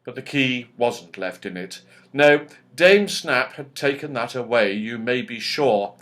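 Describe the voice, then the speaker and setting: A man reading a children's story aloud with expressive narration. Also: medium pitch, unhurried. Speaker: audiobook reader.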